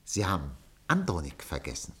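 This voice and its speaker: An actor playing a wily, scheming newspaper owner in a German radio play, theatrical, ironic and flattering. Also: male voice, deep voice, a little fast, exaggerated voice. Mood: grudging, ironic. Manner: expressive, ironic.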